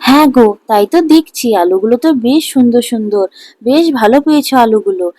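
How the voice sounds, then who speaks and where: A woman voicing an honest, sensible, supportive wife in a cartoon story, with an expressive delivery. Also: fairly high pitch, quick pace. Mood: caring. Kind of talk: Bengali cartoon story voice acting.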